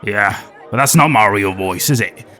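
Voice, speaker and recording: A man's voice: on the low side, normal speed, bright, forceful tone, soft background music. A man playing an aging former nature documentary host with a wry, world-weary, conspiratorial manner. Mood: sly. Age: older.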